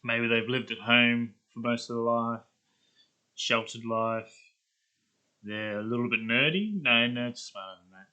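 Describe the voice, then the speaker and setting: A man with a slightly congested voice chats casually as a channel host, thinking out loud about character voices. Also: medium pitch, steady tone, unhurried, muffled recording. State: slightly congested, sniffly nose.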